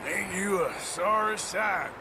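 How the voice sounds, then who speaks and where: An actor playing a gruff, dry-witted American outlaw cowboy in a video game, with a laconic, sardonic drawl. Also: male voice, sits high, slow pace, noisy background. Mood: mocking. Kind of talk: video game cutscene acting.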